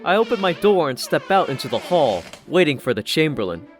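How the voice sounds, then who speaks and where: Male audiobook narrator, slightly high register, expressive first-person storytelling over faint music. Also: fairly quick. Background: background music.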